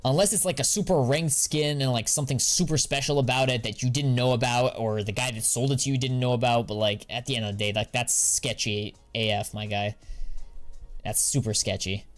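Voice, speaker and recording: A man's voice: mid-range voice, fairly even intonation, fairly quick, faint music behind. Young adult American man, easygoing streamer, casual slang, medium voice. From United States. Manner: slangy and laid-back, drawls filler words. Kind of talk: casual live-stream chat, answering viewers.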